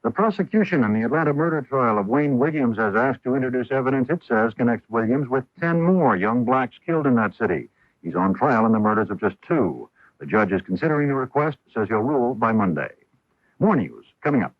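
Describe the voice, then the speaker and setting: An American man anchors a network newscast from a script. His voice sits at a middle pitch and is recorded dry, through a dull 1980s radio broadcast. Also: animated, a little fast, no room sound. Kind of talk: scripted network news reading.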